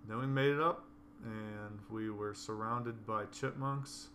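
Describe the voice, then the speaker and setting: Raspy hoarse guy, low voice, soft hum behind him. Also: fairly even intonation, slow pace. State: hoarse from coughing. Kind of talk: casual chat.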